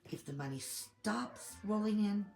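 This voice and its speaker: A woman co-hosting a commentary show, getting over a cold and slightly hoarse, giving her opinions in a measured, thinking-aloud way. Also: mid-range voice, animated, a little mellow, reverberant. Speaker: co-host of a TV commentary channel.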